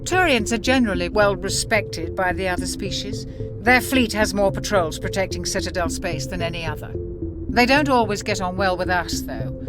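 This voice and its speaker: An actress playing a calm, measured female ship's doctor in a video game. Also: medium pitch, over music, lightly acted. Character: level-headed female ship doctor. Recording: game audio with score.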